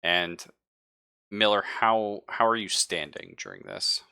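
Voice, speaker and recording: A man with a low, bright and forceful voice, recorded close and dry, narrates a tabletop roleplaying game as game master. He improvises casually, hedging as he offers options to the players. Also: very animated, measured pace, vocal fry, smooth, no echo.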